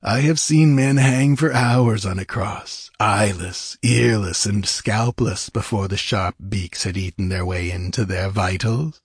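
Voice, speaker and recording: A man's voice: lowish voice, unhurried, few pauses, husky, dry recording, studio quality. A man voicing a smug, cruel military commander who taunts with formal courtesy, in an audiobook. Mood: cruel taunting. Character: mocking, cruel military commander gloating over his victim. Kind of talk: audiobook character voice.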